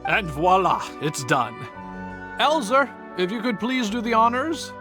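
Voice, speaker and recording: An earnest young male bartender, high in pitch and lively in intonation. He is polite and modest, slightly hesitant, and thinks his way through what he says. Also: measured pace, over music. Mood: focused, thoughtful. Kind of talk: English video game voice acting.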